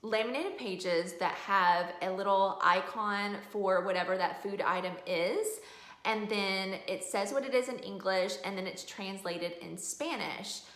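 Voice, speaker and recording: A woman's voice: mid-range voice, fairly bright tone. A middle-aged woman giving a casual, explanatory video review as a homeschooling mom. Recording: roomy indoor echo.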